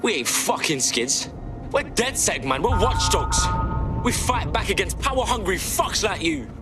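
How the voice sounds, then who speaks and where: A man's voice: sits high, very animated, measured pace, loud music behind the voice. A man with a British accent playing a defiant, streetwise young hacker in a video game, blunt and slangy.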